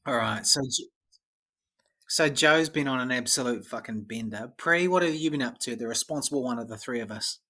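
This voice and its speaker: A man's voice: medium pitch, a little fast, sharp tone. A man hosting a podcast, casually teasing his co-hosts, swearing, and introducing the segments. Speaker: podcast host and quizmaster. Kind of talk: casual chat.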